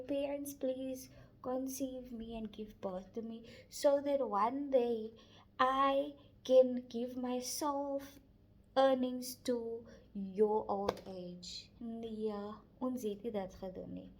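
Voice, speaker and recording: Impassioned South African woman, husky low creak. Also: takes it slowly, darkish tone, rough recording. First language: Afrikaans. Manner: switches between English and Afrikaans; blunt and impassioned. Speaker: vlogger. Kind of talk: personal monologue to camera.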